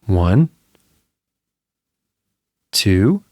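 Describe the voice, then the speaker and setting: A man's voice: fairly low pitch, big pitch swings, very slow, darkish tone, no echo, clean studio sound. A man narrating a spooky children's story from a script in a dramatic, suspenseful way.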